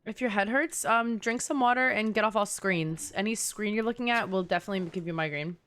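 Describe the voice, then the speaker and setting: A woman's voice: medium pitch, fairly quick, fairly bright tone. A young woman chatting casually as she streams a game, rambling through conversational asides. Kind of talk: casual chatty livestream gaming commentary. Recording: clear background noise.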